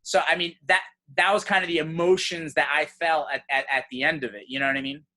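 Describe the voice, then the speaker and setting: A man from Serbia hosting a podcast, talking in an animated, conversational way. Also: a little high, quick pace. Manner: animated, conversational, says "you know" often. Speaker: podcast host.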